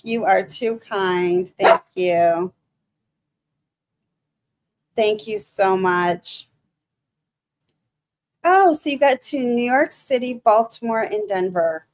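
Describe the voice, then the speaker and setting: A woman with a Michigan accent hosting a casual livestream, chatting to viewers in a halting, nervous way full of restarts and fillers. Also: mid-range voice. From Michigan, USA. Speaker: livestream host.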